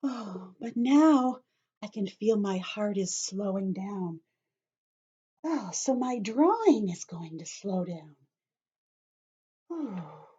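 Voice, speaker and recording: A woman teacher leading young children through a calming drawing activity, speaking gently and encouragingly straight to them. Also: medium pitch, slow, pauses often, breathy edge. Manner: gentle, encouraging, talks directly to kids. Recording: dull lo-fi home video sound.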